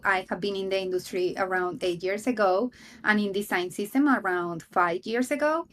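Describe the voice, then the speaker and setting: A woman from Colombia introducing herself on a panel in a halting way, with filler sounds. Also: medium pitch, fairly bright tone. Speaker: panel speaker.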